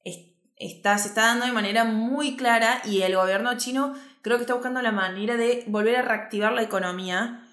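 A woman's voice: fairly high pitch, fairly bright tone. Echoey Argentine woman, easygoing. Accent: Argentine Spanish. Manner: easygoing, conversational, quick agreeing interjections. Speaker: co-host of a finance show. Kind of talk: casual market chat.

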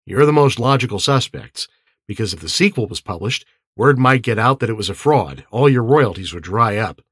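Man playing an angry, husky-voiced accuser. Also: lowish voice, expressive, normal speed, fairly bright tone, dry recording, studio quality. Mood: accusing. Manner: insistent, accusatory.

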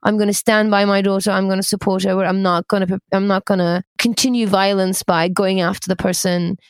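A woman co-host speaks in a gentle, appreciative way at a middle pitch. Her voice sounds dry and close, with no room around it. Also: flat delivery, brisk, clear, resonant tone, no echo. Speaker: podcast co-host. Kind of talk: interview chat.

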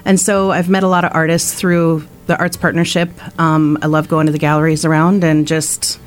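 Friendly woman with a low voice. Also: flat delivery, moderate pace. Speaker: guest, small-business web designer. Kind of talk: radio interview answers.

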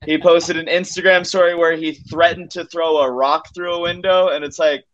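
Higher-pitched guy rambling through a story. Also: steady tone, fairly bright tone, highs cut off. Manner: rambling, halting, self-interrupting. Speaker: comedian guest. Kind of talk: casual conversational storytelling.

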